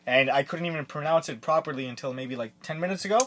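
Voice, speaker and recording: Man from india, casual chat, jokey, kinda high voice. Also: quick pace, a bit bright. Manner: joking, playful. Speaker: podcast co-host.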